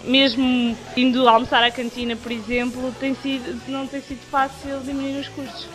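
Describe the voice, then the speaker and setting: A woman's voice: high voice, level tone, moderate pace, poor audio. A young adult woman with a Portuguese accent, a university student talking casually in a vox pop. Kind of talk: spontaneous vox pop answer.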